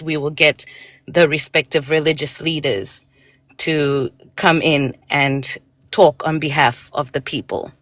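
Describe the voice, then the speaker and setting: A woman from Malawi, a guest in a casual live-stream discussion, talking in a hesitant, halting way with many fillers and restarts. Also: low-pitched, a bit bright, no room sound.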